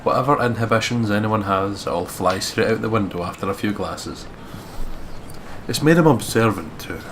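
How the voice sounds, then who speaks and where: Low voiced guy reading a visual novel out loud, chatty asides, noisy recording. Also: animated, moderate pace. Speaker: let's play co-reader.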